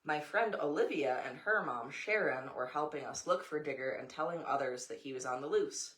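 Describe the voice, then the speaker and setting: A woman's voice: deep voice, normal speed, a bit bright. An American woman reads a children's picture book aloud, adding informal asides to a young child. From United States. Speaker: storybook reader, mother.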